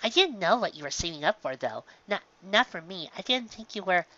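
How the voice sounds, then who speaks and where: Gentle storybook pony mom read by a narrator, flustered and hesitant, bright tone, slightly muffled lo-fi recording. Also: mid-range voice, animated, fairly quick, pitched above the performer's own voice. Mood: touched, flustered. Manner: hesitant, stammering, repeating words. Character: gentle, flustered pegasus mother, easily confused.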